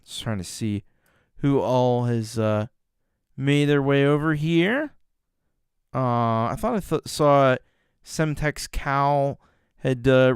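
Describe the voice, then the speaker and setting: A man hosting a casual livestream, reacting to music and rambling warmly with many fillers and restarts. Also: medium pitch, clean tone, dry recording, clean studio sound. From Canada. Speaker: music reaction show host.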